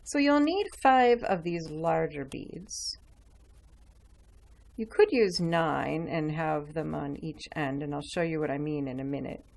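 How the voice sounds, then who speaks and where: Lower-voiced woman explaining a craft. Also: measured pace, gravelly, darkish tone. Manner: chatty, explains while working with her hands. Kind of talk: relaxed step-by-step craft demonstration.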